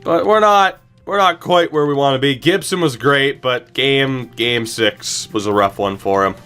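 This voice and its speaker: A man commentating on a video game livestream, talking to his viewers in an animated way and repeating phrases for emphasis. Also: mid-range voice, a little slow, clear, resonant tone, soft background music.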